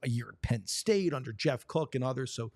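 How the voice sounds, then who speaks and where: A man's voice: mid-range voice, animated, fairly quick, darkish tone. A man who works as a play-by-play announcer, talking earnestly and supportively about players in a sports conversation.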